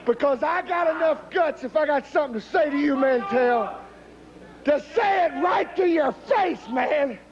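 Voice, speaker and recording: Shrill, shouting wrestler. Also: male voice, animated, unhurried. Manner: loud, taunting, boastful, repetitive. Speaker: wrestler cutting a promo. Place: TV wrestling studio with live crowd. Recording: dull TV audio, crowd noise.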